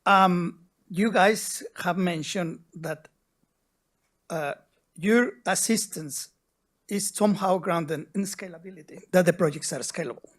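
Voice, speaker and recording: A man from Mexico, an audience member putting a long, deliberate question to a seminar panel and telling a story to make his point. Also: high-pitched, fairly bright tone.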